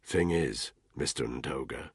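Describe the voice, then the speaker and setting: A man's voice: rumbling low, moderate pace, vocal fry, pushed and bright, slightly rough, no echo, studio quality, exaggerated voice. A man voicing a menacing intelligence soldier. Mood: cold. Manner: hissing threats. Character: tough male British intelligence soldier, menacing.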